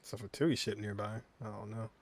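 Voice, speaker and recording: A man's voice: lowish voice, flat delivery, brisk. A man giving informal, offhand commentary as he plays through a video game. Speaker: gaming content creator. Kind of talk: casual game playthrough commentary.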